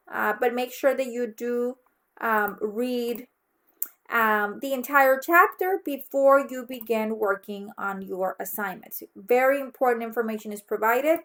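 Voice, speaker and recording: High-pitched woman instructor, Mexican accent. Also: fairly bright tone. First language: Spanish. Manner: instructive, frequently checks understanding with "okay?". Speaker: college instructor. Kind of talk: online course orientation walkthrough.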